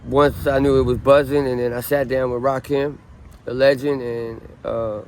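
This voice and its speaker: A man in his late thirties from Southern California talks outdoors in a casual street interview. His pitch stays level and his delivery wanders with restarts and fillers. Faint city noise sits behind him. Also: normal speed. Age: 30s. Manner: relaxed, rambling, many ums and restarts.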